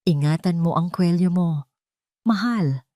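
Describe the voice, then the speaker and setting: A poised Filipino woman bent on revenge, played by a female narrator in an audio drama. She speaks with measured control and quiet menace, recorded very dry. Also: on the low side, no room sound, natural voice. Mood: soft menace.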